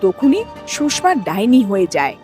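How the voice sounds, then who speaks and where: A woman's voice: medium pitch, huge pitch swings, measured pace, a little mellow, soft background music. A woman telling a scripted ghost story as the narrator of a cartoon.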